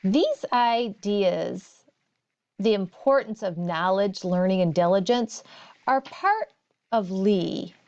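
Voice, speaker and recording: Slow-paced woman teacher reading aloud. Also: mid-range voice, a little mellow, highs cut off. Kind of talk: read-aloud of story and textbook.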